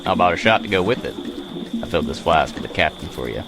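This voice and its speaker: A man's voice: low-pitched, animated, fast, noisy background. A man playing a teasing, boisterous buddy and ringleader in an audio drama.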